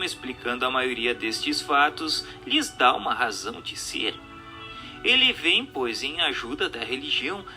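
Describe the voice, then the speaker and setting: A man's voice: mid-range voice, bright, forceful tone. A Brazilian man reading a book aloud as a formal, emphatic, declamatory scripted narration. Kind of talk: scripted dramatized audiobook narration. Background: music under the voice.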